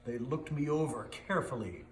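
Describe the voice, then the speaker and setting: A man reading a children's storybook aloud with expressive, rhythmic delivery and dramatic pauses, in a roomy, echoing recording. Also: medium pitch. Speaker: storybook reader. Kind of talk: animated children's read-aloud. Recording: echoey, roomy sound.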